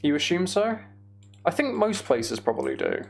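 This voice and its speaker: Creaky-voiced British man, casual streamer. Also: high voice, wildly swooping pitch, normal speed. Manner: rambling, thinking aloud. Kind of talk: casual livestream chat while gaming.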